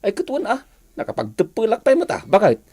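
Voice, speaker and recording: A man's voice: sits high, huge pitch swings, a little fast, darkish tone, breathy edge, heavily acted. Mocking Ilocano man, swooping voice. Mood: amused. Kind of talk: Ilocano radio drama acting.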